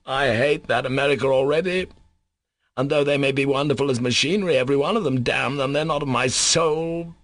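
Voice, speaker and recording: A man's voice: medium pitch, steady tone, brisk, a bit bright, dry recording, pitched above the performer's own voice, close to an everyday voice. A man reading aloud as an anguished, passionate young Russian man who speaks in overwrought outbursts.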